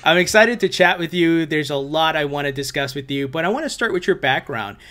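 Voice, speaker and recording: Low voiced woman host, friendly quick questions, laughs along with guest. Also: lively intonation, fairly bright tone. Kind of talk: interview hosting.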